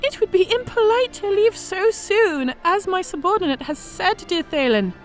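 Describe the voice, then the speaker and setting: A woman's voice: extremely high pitch, animated, normal speed, soft background music. A woman playing a smug female orc centurion in an audio drama, speaking with mock-sweet politeness and cool menace. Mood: probing, menacing.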